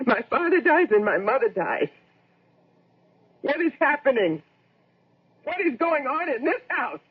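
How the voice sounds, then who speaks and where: A woman's voice: high-pitched, big pitch swings, measured pace, slight background noise. A woman acting a brittle, stubborn, troubled wife in a radio drama. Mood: distraught. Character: distraught, grief-stricken wife, brittle and stubborn. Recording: tinny, narrow-band old radio recording.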